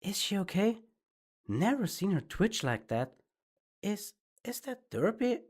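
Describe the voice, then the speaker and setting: Gossiping townspony played by a man, murmuring, anxious, high-pitched, altered timbre. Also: sing-song, measured pace, darkish tone, husky, exaggerated voice. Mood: worried. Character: worried, gossiping townspony in a crowd.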